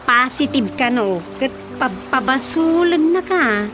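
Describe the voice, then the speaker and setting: Casting: high-voiced Ilocano village scold, gossipy radio comedy delivery, music underneath. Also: female voice, lively intonation, a little slow. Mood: taunting, matter-of-fact.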